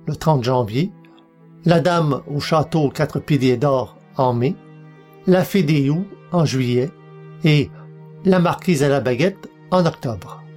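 A man's voice: on the high side, darkish tone. A man presenting a podcast, reading out a scripted year-in-review rundown as an announcer. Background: faint music.

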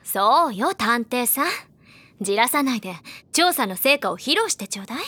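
A woman's voice: on the high side, lively intonation, normal speed, bright, forceful tone, husky, exaggerated voice. A woman playing a teasing, self-assured, scheming older schoolgirl in an audio drama, sly and coolly commanding. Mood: coaxing. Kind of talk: anime-style audio drama acting.

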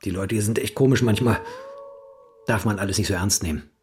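An actor playing a casual, dismissive writer husband in an audio drama, with a laconic, offhand way of talking. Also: male voice, lowish voice, brisk, close to an everyday voice. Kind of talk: German audio drama acting.

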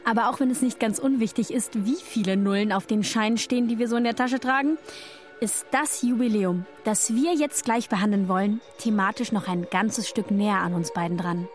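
A woman's voice: mid-range voice, brisk, lightly acted. A woman playing a chirpy, teasing podcast co-host in casual banter with youthful slang, over music. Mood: playful, excited. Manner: playful, exclaiming, youthful slang. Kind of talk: scripted banter played as casual podcast chat.

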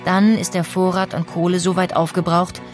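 A woman's voice: lowish voice, level tone, moderate pace. A woman reading scripted documentary narration as the narrator. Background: music.

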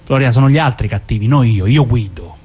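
An actor playing a menacing, evasive southern Italian mafioso in a theatrical dramatic reading, with a southern accent. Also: male voice, medium pitch, expressive, a little fast, dark tone, slightly rough. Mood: cajoling, menacing.

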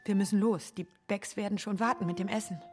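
An actress playing a resolute young widow, proud, tender and plainspoken, in a radio drama. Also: female voice, mid-range voice, steady tone, quick pace, a little mellow, soft background music, close to an everyday voice. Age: young adult.

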